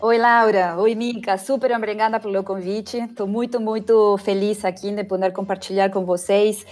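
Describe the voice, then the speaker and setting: A middle-aged woman with an Argentine accent, a Spanish speaker talking as a podcast guest, pausing often with fillers and restarts. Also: mid-range voice, steady tone, a little mellow, faint music behind. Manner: hesitant, many fillers and restarts. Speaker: guest brand consultant. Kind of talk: podcast interview.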